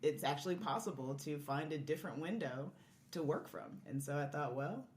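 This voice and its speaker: Female podcast guest, lowish voice, casual storyteller, roomy echo with faint noise behind. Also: expressive, moderate pace, a little mellow. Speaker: podcast guest, marriage and family therapist. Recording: echoey room, faint background noise.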